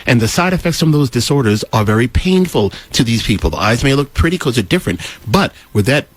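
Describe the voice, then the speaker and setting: Guy explaining his views and telling stories, super lively pitch, emphatic, does quotes from people. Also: fast, no room sound. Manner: emphatic, acts out quoted remarks. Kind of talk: opinionated explanatory talk with anecdotes.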